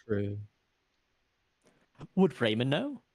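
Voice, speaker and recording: A man's voice: medium pitch, wildly swooping pitch, drawn-out words, creaky, darkish tone, no room sound. Male tabletop player, medium register, very varied intonation, conversational improvised reasoning. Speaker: tabletop role-play player. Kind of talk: improvised tabletop role-play chat.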